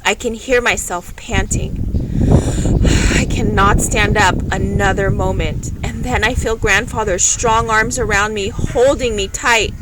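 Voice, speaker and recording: Loud ambient noise surrounds a woman reading a children's story aloud. She takes her time, narrating with drama and expression at a medium pitch. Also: steady tone. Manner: dramatic, unhurried storytelling delivery. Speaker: storybook reader.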